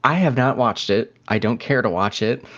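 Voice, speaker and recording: A man's voice: medium pitch, normal speed, a bit bright. A man co-hosting a podcast in a casual, chatty style. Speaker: podcast co-host. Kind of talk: casual chat. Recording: dull, lo-fi audio.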